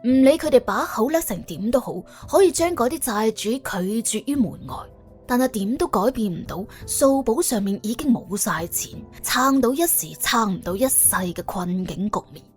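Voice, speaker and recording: A woman's voice: fairly high pitch, expressive, moderate pace, faint music behind. A woman with a Cantonese accent narrating a story in a dramatic, colloquial, humorous way. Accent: Hong Kong or Guangdong Cantonese. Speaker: audiobook narrator. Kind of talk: colourful scripted story narration.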